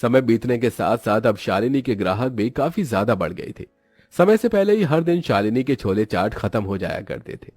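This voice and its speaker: A man's voice: medium pitch, lively intonation, normal speed, darkish tone, dry recording. A man narrating a scripted moral story for children.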